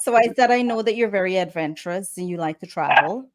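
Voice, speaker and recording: A woman's voice: mid-range voice, lively intonation, fast talker. A woman hosting an interview, asking questions in an encouraging manner with hesitant fillers. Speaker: host.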